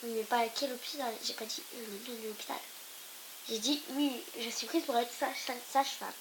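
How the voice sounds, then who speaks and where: A young woman with a cold, co-hosting a casual gaming video, quick to protest and exclaim. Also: a little high, crowd noise, poor audio. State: stuffy with a cold. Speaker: gaming YouTuber co-host. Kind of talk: casual let's-play chat. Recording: hissy home recording. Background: steady hiss with clicks.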